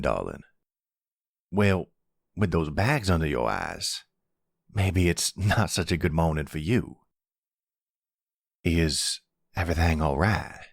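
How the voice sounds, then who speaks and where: A man voices an older father and mob boss in an intimate audio roleplay. The voice is very low and slow, earnest and gentle with a regretful, reassuring air. It sounds close and dry. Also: animated, vocal fry, dry recording, full character voice. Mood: fond, teasing. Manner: measured, fatherly, earnest, soft-spoken. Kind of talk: intimate ASMR roleplay acting.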